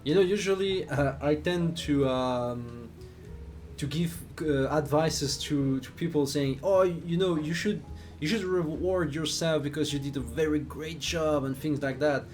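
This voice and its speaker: Slow-talking man with a Swiss accent. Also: on the high side, a little mellow, faint music behind. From Switzerland. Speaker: flight simulator streamer. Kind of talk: casual live stream chat while playing.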